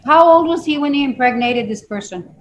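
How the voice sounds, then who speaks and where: Female judge, high-pitched and very animated, blunt, exasperated, emphatic delivery from the bench. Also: a little fast, slight background noise. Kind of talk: courtroom questioning from the bench.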